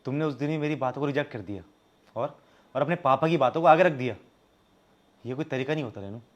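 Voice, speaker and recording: Young Indian husband, played by a male actor, medium pitch, fault-finding and earnest, domestic drama scene. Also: fast talker, muffled recording, natural voice. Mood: earnest, reproachful. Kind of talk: scripted domestic drama acting in Hindi with some English.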